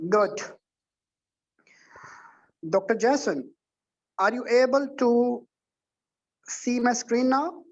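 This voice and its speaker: A man's voice: very high-pitched, slow, long pauses. A man tutoring exam candidates in an online session, lecturing earnestly with frequent repetitions and restarts. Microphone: video call. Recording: dull lo-fi online call audio.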